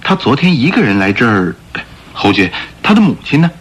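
An actor playing a suave, self-assured gentleman thief in a radio drama, speaking smoothly and politely. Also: medium pitch, big pitch swings, brisk. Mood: curious, polite. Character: suave, confident gentleman thief posing as rich buyer.

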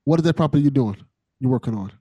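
A man's voice: mid-range voice, expressive, racing, dark tone, no room sound. A man hosting a casual interview, talking in an informal, slangy, buddy-like way. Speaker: podcast host.

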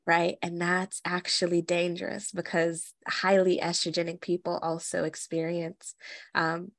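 A young adult woman co-hosting a podcast in casual chat, animated and laughing often, speaking from the belly in a feminine voice. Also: lowish voice, measured pace, creaky, no echo, clean studio sound. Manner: animated, laughs often, many fillers and restarts. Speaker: podcast co-host.

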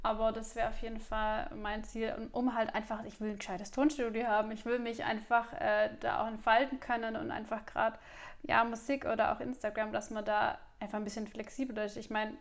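Twenties singer, Swabian lilt, high and level voice, relaxed and giggly. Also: female voice, brisk. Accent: Swabian German. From Swabia, Germany. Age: 20s. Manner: relaxed, laughs often, many fillers. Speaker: singer and influencer. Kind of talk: casual interview answers.

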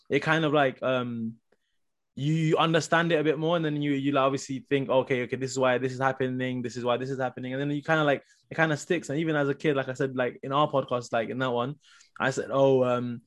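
Very fast-talking young man. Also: mid-range voice. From Nepal. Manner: informal, slangy, heavy use of "like". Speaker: podcast panelist. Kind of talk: casual group discussion.

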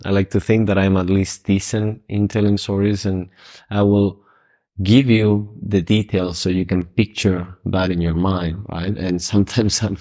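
A man from Argentina co-hosting a casual podcast, with a very deep voice. He lays out his points in a calm, measured and explanatory way. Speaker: podcast co-host.

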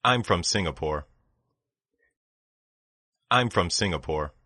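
A man reading short phrases for language learners, saying each one twice in a slow, deliberate way. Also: sits low, animated, noisy background. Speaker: language lesson speaker.

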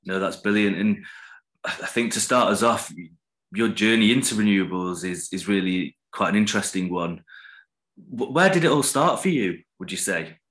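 A man's voice: fairly low pitch, expressive, normal speed, fairly bright tone. A man hosting a casual podcast, friendly and chatty with a few hesitations. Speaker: podcast host. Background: music.

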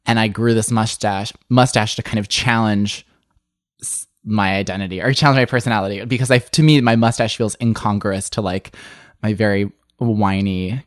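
A young man speaking as a guest in a candid interview, his voice fairly low but prone to sudden upward leaps. He talks in an animated, rambling way, full of filler words. The sound is close and dry, with no room echo. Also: moderate pace. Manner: animated, many "like" fillers, swooping pitch.

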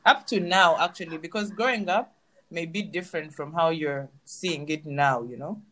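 A woman's voice: sits low, moderate pace, fairly bright tone, rough recording. A woman hosting a podcast in casual chat, reading out definitions and laughing easily. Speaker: podcast host.

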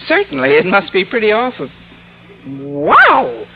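An actress playing a wry, quick-witted schoolteacher in an old-time radio sitcom, with a dry, sardonic manner. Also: female voice, mid-range voice, huge pitch swings, lo-fi sound, a little hiss or hum. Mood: sardonic, catty. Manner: dry, sardonic, crisply ironic. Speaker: actress and narrator in a radio comedy. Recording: vintage radio recording, studio audience.

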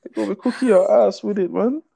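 A woman's voice: mid-range voice, fast, creaky, warm tone, breathy edge. A woman co-hosting a casual chat, joking crudely and laughing often. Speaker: podcast co-host.

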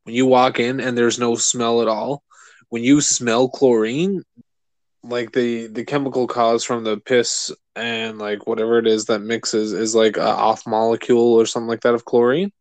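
A man co-hosting a casual podcast, rambling and animated, swearing freely. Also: mid-range voice, normal speed, no room sound. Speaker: podcast co-host. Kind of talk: casual chat.

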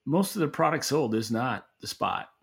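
Bright, forceful man giving market commentary. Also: mid-range voice, big pitch swings, moderate pace, gravelly. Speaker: podcast co-host and industry analyst. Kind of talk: unscripted expert market commentary.

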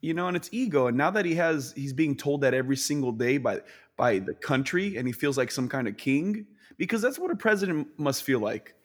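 A man's voice: fairly high pitch, fairly quick. Slangy young male podcast guest. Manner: animated, slangy, acts out voices of others. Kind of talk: casual chat.

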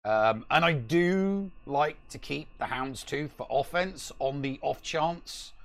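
Guy chatting about games on a podcast, gravelly fry in the voice, rambles and hammers his points. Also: on the high side, slow. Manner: rambling, emphatic, repeats himself for stress. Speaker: podcast co-host. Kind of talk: casual gaming chat.

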